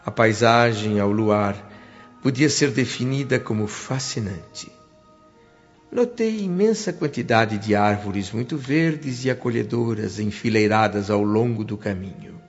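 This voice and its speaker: A man playing an attentive, wondering first-person narrator in a Brazilian radio drama, measured and expressive, with a Brazilian accent. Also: on the low side, lo-fi sound, soft background music. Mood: measured, awed. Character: attentive, wondering male narrator recalling his spirit-world journey.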